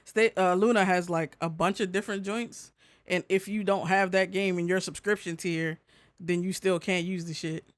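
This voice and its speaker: A man's voice: sits high, steady tone, normal speed, dry recording. A man co-hosting a casual gaming news chat, hesitant in his phrasing and freely swearing. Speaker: podcast co-host.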